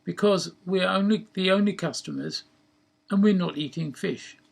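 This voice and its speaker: A man's voice: high voice, normal speed, vocal fry, husky, exaggerated voice. A man voicing a sceptical, pedantic friend in a story, questioning in a dry, wordy, precise way.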